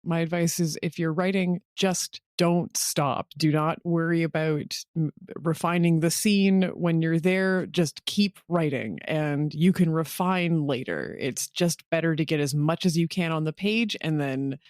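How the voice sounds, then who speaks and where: A woman's voice: deep voice, measured pace, a little mellow, no echo, clean studio sound. A woman who writes audio drama, chatting casually in an interview. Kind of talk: casual chat.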